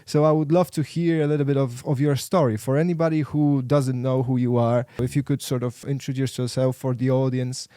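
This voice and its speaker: A man hosting a podcast, interviewing in a casual, conversational way with soft-edged questions and frequent affirming interjections. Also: mid-range voice, level tone, a little fast, few pauses, clean tone, dry recording, clean studio sound. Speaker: podcast host.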